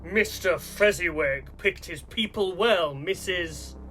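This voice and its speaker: An actor playing a courteous but menacing male villain who bosses elves in a radio play, grandiose, formal and measured. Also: high voice, bright, forceful tone, faint music behind, exaggerated voice. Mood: coolly courteous.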